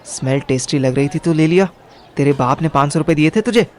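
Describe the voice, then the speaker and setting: A man's voice: fairly high pitch, a little fast, darkish tone, a little hiss or hum. A young man narrating a first-person audio drama in colloquial Hindi mixed with English words. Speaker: narrator and lead character.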